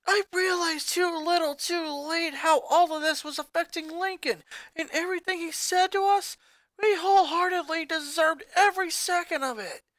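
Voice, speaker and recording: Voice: very high-pitched, normal speed, a bit bright, no room sound, voice pushed up, low-quality recording. A narrator putting on an angry, guilt-ridden teen musician sister. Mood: angry shouting. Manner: angry, then shaken and tearful.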